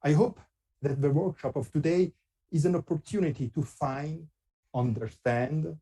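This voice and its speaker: A man with an Italian accent, a guest speaker giving a prepared lecture in English, his second language, in slow measured phrases with long pauses. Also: a little high, dark tone, husky.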